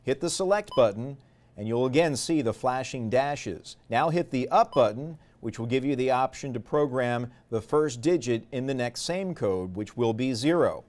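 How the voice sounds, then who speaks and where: A man's voice: mid-range voice, lively intonation, normal speed, darkish tone. A man narrating a product tutorial step by step in a methodical, explanatory way. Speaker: instructional presenter.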